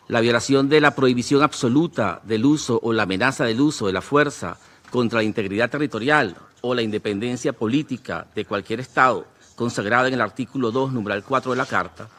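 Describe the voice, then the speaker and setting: A man delivering a formal, prepared political statement in a measured, declarative way. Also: mid-range voice, fairly bright tone.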